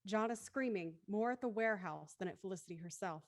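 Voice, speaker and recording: A woman's voice: medium pitch, quick pace, no echo, studio quality, lightly acted. A woman acting as the intent narrator of an audio thriller, reading dramatic scripted narration. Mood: intent storytelling.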